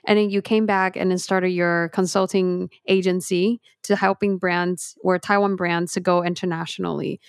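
A woman's voice: fairly low pitch, flat delivery, moderate pace, few pauses, clean tone, no echo. A woman hosts a casual interview with a curious manner. She asks follow-up questions and keeps the guest going with frequent "mm-hmm"s. The recording is dry, with no room sound. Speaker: podcast host.

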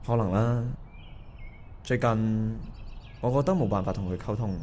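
A man's voice: on the low side, a little slow, a little mellow, slight background noise, close to an everyday voice. A man acting as a regretful, hesitant married man in a Cantonese radio drama, talking conversationally. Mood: subdued. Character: regretful, hesitant married man meeting his first love. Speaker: voice actor playing the male lead. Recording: music under the dialogue.

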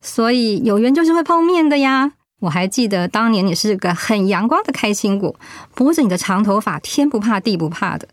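An actress playing a kind, attentive middle-aged housewife talking in a radio drama. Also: female voice, medium pitch, animated, fairly quick, hardly any pauses, no echo, clean studio sound, natural voice. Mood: nostalgic. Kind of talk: radio drama acting, conversational.

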